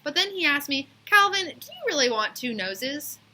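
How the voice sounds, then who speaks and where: A woman's voice: high voice, big pitch swings, moderate pace, sharp tone, pitched above the performer's own voice, exaggerated voice. A woman voicing an indecisive, earnest schoolboy in a children's storybook, with an emphatic, griping manner. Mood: explaining earnestly. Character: indecisive, earnest schoolboy agonizing over a big choice.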